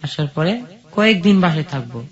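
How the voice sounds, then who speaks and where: A man's voice: on the high side, expressive, a little fast. A man with a Bangladeshi accent telling his life story as a radio guest, in plain colloquial narration. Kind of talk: personal storytelling on a radio show. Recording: dull, lo-fi audio.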